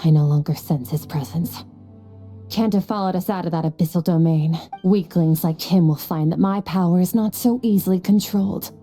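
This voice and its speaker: A woman voicing an aloof, stoic young warrior in a video game, speaking in a cool, deadpan, formal manner. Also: lowish voice, moderate pace, close to an everyday voice. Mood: composed, cold. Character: aloof, stoic, powerful young swordswoman from the abyss. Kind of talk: anime-style game voice acting. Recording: music behind the voice.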